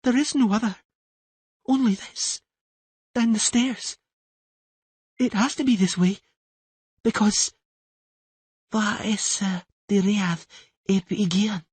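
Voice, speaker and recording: A man's voice: high-pitched, fairly even intonation, takes it slowly, long pauses, a little mellow, slightly rough, dry recording, voice pushed up, full character voice. A man voicing a young girl in a trance, speaking in a shaky, pleading voice. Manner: shaky, pleading, rising into panic.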